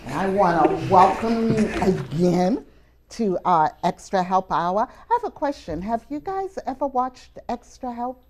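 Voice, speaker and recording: A woman's voice: mid-range voice, very animated, unhurried, a little mellow. Woman hosting an educational TV show. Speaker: television show host and teacher. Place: live television taping at a community college.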